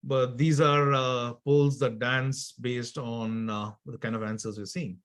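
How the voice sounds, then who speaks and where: A man's voice: medium pitch, level tone, unhurried. A man hosting an interactive online workshop over a video call, chatty, with stammered restarts and easy laughter. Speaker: workshop host.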